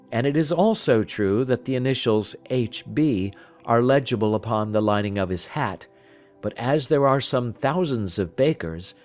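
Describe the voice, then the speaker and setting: A man voicing a confident, analytical gentleman detective in an audiobook, speaking in a precise, measured, lecturing manner. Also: lowish voice, natural voice. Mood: assured, explanatory. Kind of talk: audiobook character dialogue.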